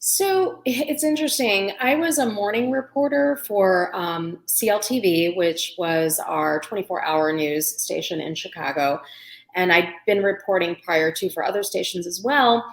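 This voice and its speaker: A middle-aged woman, raised in the United States, with a light Indian accent, telling stories as an interview guest in a lively, chatty way. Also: mid-range voice, a little slow. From India, raised in Pennsylvania. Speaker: guest, food blogger and cookbook author. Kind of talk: conversational interview storytelling. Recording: roomy echo.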